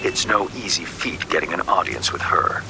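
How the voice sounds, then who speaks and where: An actor playing an urbane, cagey fixer in a video game, with a breathy murmur and florid, wry, theatrical phrasing. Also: male voice, low voice, animated, normal speed. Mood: sly, measured. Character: urbane, cagey underworld fixer man with sly wit. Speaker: game character, underworld fixer. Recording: rain and ambient noise behind.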